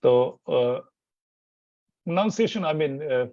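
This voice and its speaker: A man's voice: a little high, lively intonation, a little slow, warm tone, no room sound, lo-fi sound. A man speaking as an interview guest, rambling with many restarts and fillers. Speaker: Hindi teacher, interview guest. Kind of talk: casual interview chat.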